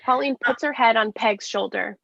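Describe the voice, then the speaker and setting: A woman's voice: fairly high pitch, normal speed, creaky, fairly bright tone, no room sound, highs cut off. A woman reading stage directions as scripted narration.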